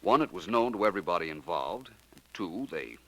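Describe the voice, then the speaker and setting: An actor playing a suave, calmly superior gentleman detective in a 1940s radio drama, smooth and unhurried. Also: low-pitched, full character voice. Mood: explaining. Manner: smooth, unhurried, lightly condescending.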